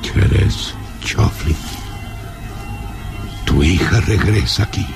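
An actor playing a gaunt, imperious old baron in a radio drama, speaking gravely and theatrically. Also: male voice, sits low, expressive. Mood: solemn, mournful. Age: older. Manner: slow, grave, theatrical, commanding. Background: dramatic music.

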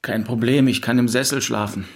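A man's voice: mid-range voice, fairly even intonation, fairly quick, no room sound, lightly acted. An actor playing a grieving young male student, narrating a German radio drama in the first person in short, introspective phrases. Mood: detached, disillusioned. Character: grieving, rootless 22-year-old male student, bitter and melancholy. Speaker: narrator and lead in an audio drama. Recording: music behind the voice. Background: music.

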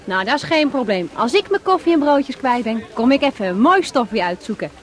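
High, swooping coffee seller played by a woman. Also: animated, brisk, close to an everyday voice. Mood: wary, familiar. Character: wary, familiar market coffee seller. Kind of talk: radio drama acting.

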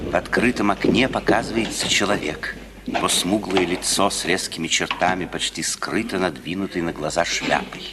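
An actor as a measured narrator, reading scripted scene directions for a 1950s radio drama. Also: male voice, low voice, fairly even intonation, close to an everyday voice. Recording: noisy 1950s radio recording.

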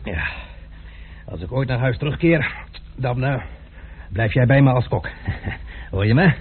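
An actor playing an imperious, hot-tempered gentleman master who gives brusque commands, in old Dutch radio drama style. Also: medium pitch, expressive, unhurried, close to an everyday voice. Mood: gruffly pleased. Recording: tinny, noisy old radio play recording.

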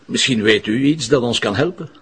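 A man's voice: medium pitch, brisk, a bit bright, husky. An actor with a Flemish accent playing a courteous, wry police inspector in a radio drama, tactful in his questioning. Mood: courteous, probing.

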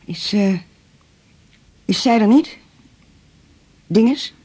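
An actress with a Netherlands Dutch accent playing a stubborn, aggrieved and demanding patient in a radio drama, indignant and combative in manner. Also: female voice, medium pitch, expressive, very slow, warm tone, husky, heavily acted.

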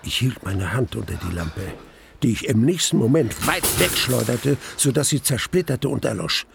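An actor playing a grave man who recounts mortal terror, narrating a radio play deliberately and with weighted pauses. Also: mid-range voice, lively intonation, vocal fry, background noise. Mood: dread. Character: grave male narrator recalling his mortal terror in a cellar. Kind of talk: dramatic first-person radio play narration.

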